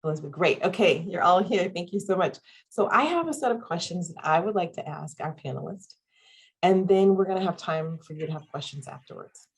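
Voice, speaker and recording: A woman's voice: on the low side, animated, brisk, husky. A woman presenting and moderating an online panel on a video call, upbeat and listing items with frequent ums. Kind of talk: webinar presentation.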